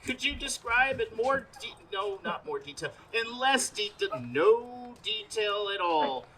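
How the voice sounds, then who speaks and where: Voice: medium pitch, very animated, measured pace, sharp tone. An actor playing a flustered, awestruck radio news reporter who stammers through a melodramatic live report on an outdoor stage. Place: outdoors in a parking lot. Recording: outdoor stage recording, noisy background.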